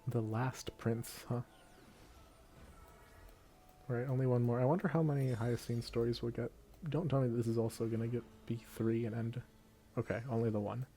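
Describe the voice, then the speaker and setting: A man's voice: mid-range voice, fairly even intonation, normal speed, pauses often, mellow. A man doing casual let's play commentary on a video game. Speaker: gaming streamer.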